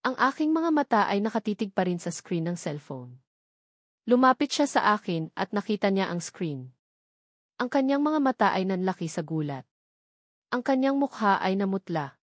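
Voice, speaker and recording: A woman giving a scripted, emotive narration of a dramatic story in a measured read-aloud manner. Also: mid-range voice, expressive, no room sound, clean studio sound.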